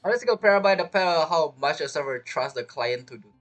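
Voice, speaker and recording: A woman's voice: low voice, animated, fast talker. A woman who is not a native English speaker, chatting casually while livestreaming a game in a hesitant, rambling way that circles back on itself. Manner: hesitant, rambling, repeats phrases. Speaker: game streamer. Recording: game music behind the voice. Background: video game music and character voices.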